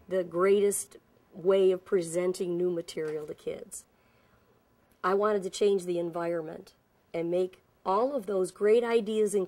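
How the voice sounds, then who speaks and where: A woman with a low, mellow voice talks earnestly about schools. She explains things in a steady way, and her voice is recorded dry and close. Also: dry recording. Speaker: school staff member. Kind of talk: documentary interview.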